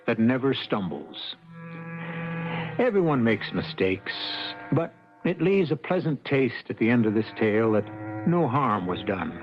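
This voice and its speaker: A man hosting an old radio drama, reading his script in a measured, wry and formal manner. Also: medium pitch, big pitch swings. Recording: dull old radio broadcast, music behind.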